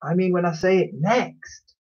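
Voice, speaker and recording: Casting: earnest young storybook lad, acted by a male narrator, urgent, pleading, raised husky voice. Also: high voice, unhurried, a little mellow, lo-fi sound, pitched above the performer's own voice. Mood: urgent, exasperated. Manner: plaintive, urgent.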